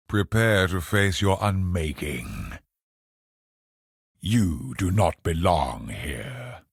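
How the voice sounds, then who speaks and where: An ancient cosmic overlord with a low, gravelly voice that swings dramatically in pitch. He is an imperious elder from a video game, delivering grave, theatrical pronouncements very slowly. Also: no echo, clean studio sound, full character voice. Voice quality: gravelly, husky. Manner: slow, portentous, theatrical pronouncements.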